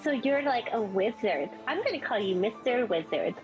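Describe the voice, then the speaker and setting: A woman plays a tabletop game in a casual, chatty, curious way. Her voice is on the high side, and music is clearly audible behind her. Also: lively intonation, normal speed. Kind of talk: casual in-character roleplay.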